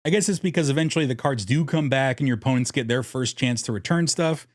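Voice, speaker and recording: A man's voice: mid-range voice, fast talker, no room sound, studio quality. A man narrating a scripted strategy guide in a jokey, irreverent way, casually swearing as host. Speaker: host of a card game deck guide.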